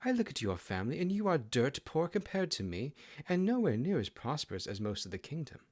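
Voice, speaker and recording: A man's voice: on the high side, big pitch swings, a little fast, a little mellow, pitched above the performer's own voice. A man voicing a proud, huffy fox queen in an audiobook, with a formal, haughty way of talking. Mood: haughty, demanding.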